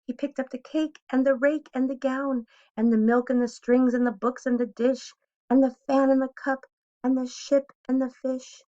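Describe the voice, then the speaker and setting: A woman's voice: high voice, level tone, moderate pace, warm tone. A woman teacher reading a storybook aloud expressively and addressing young listeners directly with questions. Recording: dull lo-fi sound.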